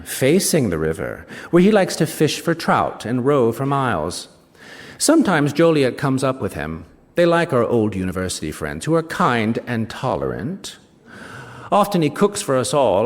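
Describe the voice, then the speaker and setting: An actor playing a smug, ageing novelist, reading a short story on stage with wry, self-satisfied, theatrical emphasis. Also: male voice, medium pitch. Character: smug ageing novelist recounting his betrayal of a friend. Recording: reverberant hall, live stage reading.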